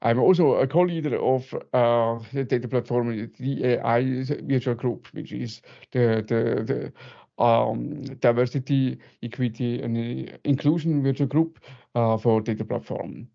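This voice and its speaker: Halting man, gravelly low creak. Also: steady tone. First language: German. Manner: halting, frequent repetitions and self-corrections. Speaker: presenter, data solution architect. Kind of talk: informal technical webinar presentation. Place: online video meeting. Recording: muffled, band-limited online call audio.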